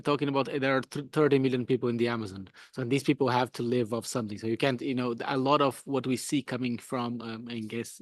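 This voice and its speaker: A man talks quickly in a mid-pitched voice, recorded dry. He is an academic asking a spontaneous question from the floor. His delivery is informal and halting, with frequent self-corrections. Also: no room sound. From Brazil.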